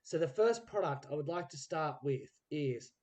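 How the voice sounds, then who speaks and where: A man's voice: on the high side, lively intonation, moderate pace, a little mellow. A man hosting a podcast in casual chat, telling anecdotes animatedly and acting out the dialogue in them. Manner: acts out quoted dialogue while telling stories. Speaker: podcast host and interviewer. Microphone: recorded remotely on a phone.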